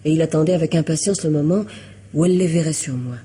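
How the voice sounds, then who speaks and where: An actress in a French radio mystery drama playing a weary, lovelorn young woman, wistful and hesitant, confessing in a hushed way. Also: low-pitched, quick pace, a little hiss or hum, close to an everyday voice. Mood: lovelorn, resigned.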